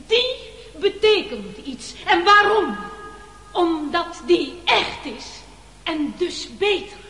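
A woman playing an anxious, pompous castaway gentleman in a radio play, stammering and declaiming in a theatrical, halting manner. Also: high-pitched, heavily acted, poor audio. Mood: grandiose, declamatory.

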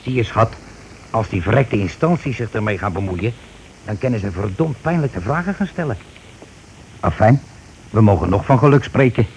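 An actor playing a scheming, irritable husband in a radio play, speaking insistently and colloquially. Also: male voice, fairly low pitch, lively intonation, moderate pace, long pauses, a little mellow, low-quality recording. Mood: calculating, irritated. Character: scheming, irritable husband covering his tracks. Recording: hissy old radio recording.

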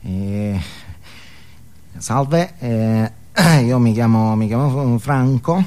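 A man playing a timid, ashamed support-group member who confesses haltingly and apologetically in a comic stage monologue. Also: lowish voice, warm tone, voice pushed down.

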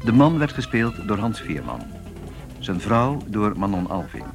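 A male radio announcer with a fairly low voice reads scripted closing credits. His intonation moves widely, and music plays clearly behind him. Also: sing-song, unhurried.

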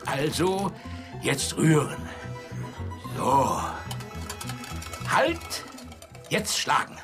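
A bossy, boastful Soviet dictator, swooping voice. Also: male voice, on the high side, huge pitch swings, extremely slow, over music, full character voice. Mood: boastful, coaxing. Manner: commanding, exclaiming, condescending. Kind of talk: radio drama acting.

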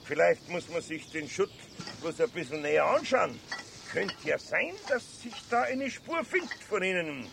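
A man's voice: high voice, sing-song, moderate pace, creaky, exaggerated voice. A man playing a wry, good-natured older master carpenter in a children's radio play, speaking colloquially with a Bavarian accent. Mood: wryly needling. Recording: faintly noisy 1970s radio recording.

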